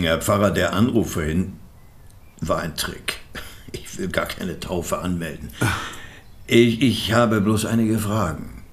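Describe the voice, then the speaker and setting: An actor playing a polite, hesitant police detective in a radio play, halting and formal as he probes. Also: low-pitched. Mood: apologetic.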